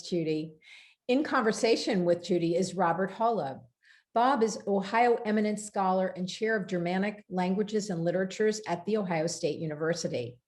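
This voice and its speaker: A woman hosting a virtual bookstore event, reading a prepared introduction in a measured, formal way over a video call. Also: fairly low pitch, a little mellow.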